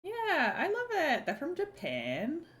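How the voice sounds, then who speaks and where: A young woman, chatting casually on a live stream, filling her talk with "like" and "um", her voice a little croaky. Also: sits high, huge pitch swings, takes it slowly, a bit bright. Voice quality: slightly croaky. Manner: chatty, lots of "like" and "um", swears casually.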